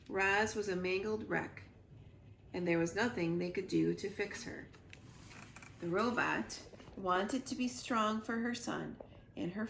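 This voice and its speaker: A woman reading a children's storybook aloud with expressive narration, recorded in a roomy living room. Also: medium pitch, vocal fry. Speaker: reader, school librarian or teacher. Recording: roomy echo, faint background noise.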